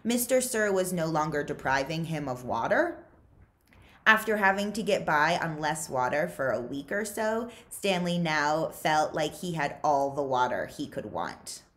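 Expressive American woman reading aloud, unhurried. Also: low voice. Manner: animated, deliberate storytelling with dramatic emphasis. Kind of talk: expressive children's audiobook-style read-aloud. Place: small quiet living room with bookshelves.

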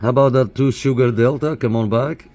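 A man's voice: mid-range voice, steady tone, a little fast, over music. A man working as a CB radio operator and host, making contacts with call signs and casual chat. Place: indoor room by CB radio sets. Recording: clear background static and radio hiss. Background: radio static.

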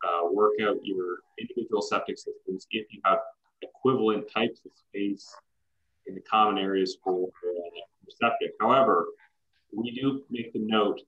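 Deep-voiced young man on dull call audio. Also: fairly bright tone. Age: young adult. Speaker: planner and meeting moderator. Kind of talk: informal explanation at online public meeting. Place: room at home, on a video call. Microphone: computer microphone on video call.

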